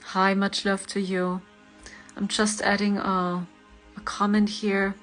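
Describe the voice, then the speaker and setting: German woman chatting flatly on a livestream. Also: medium pitch, slow pace, faint music behind. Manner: answers viewer comments, laughs often, warm greetings. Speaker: spiritual reader, livestream host. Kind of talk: casual livestream chat.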